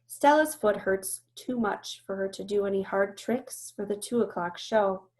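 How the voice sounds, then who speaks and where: A woman's voice: mid-range voice, unhurried, a little mellow. A woman teacher reading a storybook aloud and explaining it, instructive and often checking that listeners understand.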